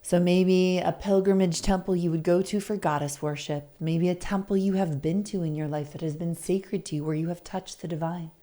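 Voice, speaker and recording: A woman leading a guided meditation in a soothing, deliberate, gently inviting manner. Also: lowish voice, fairly even intonation. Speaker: guest meditation facilitator.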